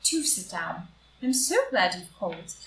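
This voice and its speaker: A woman playing an upright, devoted, morally strict society wife in a live reading of a stage comedy, in a formal, deliberate way. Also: lowish voice, very animated, a bit bright, full character voice. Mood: confiding, proud. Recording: roomy, distant, noisy live group recording.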